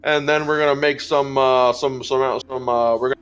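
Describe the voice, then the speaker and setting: Animated thirty-year-old man chatting. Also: medium pitch, fairly quick, a little hiss or hum. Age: 30s. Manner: animated, acts out quotes, laughs often. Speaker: podcast co-host. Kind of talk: casual group chat on a live stream.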